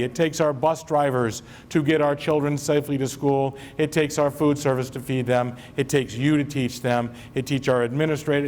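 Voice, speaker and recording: A man's voice: fairly high pitch, a little mellow, slight background noise. A man from Maine, a school superintendent, giving a chatty, joking convocation speech to his staff in a large hall. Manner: chatty, self-deprecating, joking with the audience. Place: large hall with a live audience.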